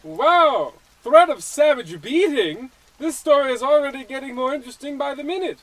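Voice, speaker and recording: A man's voice: extremely high pitch, expressive, moderate pace, fairly bright tone, low-quality recording. A man playing a brash, slick, scoop-hungry newspaper reporter in an old-time radio comedy. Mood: brash, eager.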